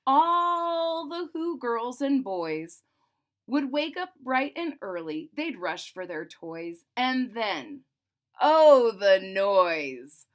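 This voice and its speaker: Lady doing a kids story read-aloud, slow pace, highish voice, super expressive. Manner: animated, emphatic, with simple explanatory asides. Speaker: storyteller reading a children's book aloud.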